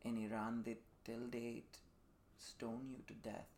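A man in his thirties from Dubai, a podcast guest telling his personal story reflectively in thoughtful, measured phrases. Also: mid-range voice, flat delivery, darkish tone. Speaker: podcast guest, performing artist. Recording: faint room noise behind the voice.

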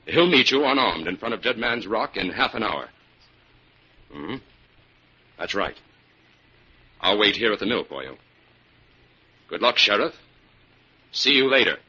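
An actor playing a confident, clever detective in an old-time radio adventure. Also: male voice, fairly low pitch, very animated, unhurried, pauses often, bright, forceful tone, breathy edge, full character voice. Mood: calm, assured. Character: confident, clever male detective in charge of a trap. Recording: muffled, hissy old radio recording.

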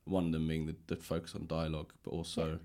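A man with a deep, low voice hosts a conversational interview podcast. He phrases things loosely and tentatively. The sound is dry, with very little room in it. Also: completely flat delivery, quick pace, dark tone, no room sound.

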